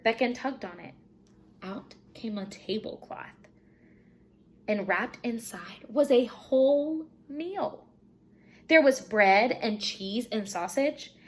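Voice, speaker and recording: Higher-voiced woman reading a storybook aloud. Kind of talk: expressive children's storybook read-aloud.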